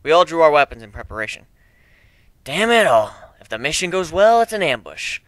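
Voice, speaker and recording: Bright-voiced man reading a story dramatically. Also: fairly high pitch, big pitch swings, a little fast, no room sound. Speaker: amateur story narrator.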